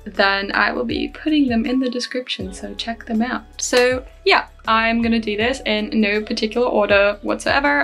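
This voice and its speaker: A woman's voice: fairly high pitch, fairly bright tone. Chatty young woman vlogging from her bedroom. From Germany. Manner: chatty and self-deprecating, laughs between phrases. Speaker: language-learning vlogger. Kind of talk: casual talk to camera. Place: small bedroom, indoors. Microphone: camera at desk distance.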